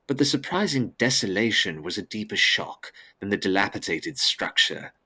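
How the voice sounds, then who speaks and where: A man's voice: low-pitched, bright, forceful tone. A man narrating a gothic audio drama as scripted, with grave, dramatic phrasing.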